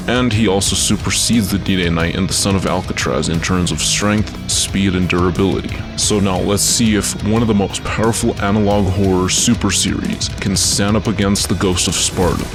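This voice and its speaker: A man with a low-pitched voice delivers scripted explainer narration. His phrasing is informal and colloquial, like an online video essay. Music plays clearly behind him throughout. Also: fairly even intonation, normal speed, few pauses.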